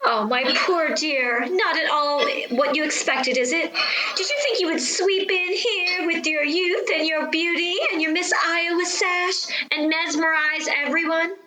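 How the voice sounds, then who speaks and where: An actress playing a haughty, seductive vaudeville-born stage star in a radio play, theatrical and condescending. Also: female voice, very high voice, a little slow, bright, forceful tone, roomy sound, full character voice, rough recording. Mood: mocking. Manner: theatrical, drawling, condescending endearments.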